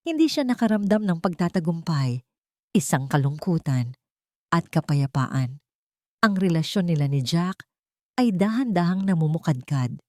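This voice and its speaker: Female storyteller, lowish pitch, dry close recording; scripted romantic drama narration in measured, deliberate phrases. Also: sing-song, darkish tone, dry recording.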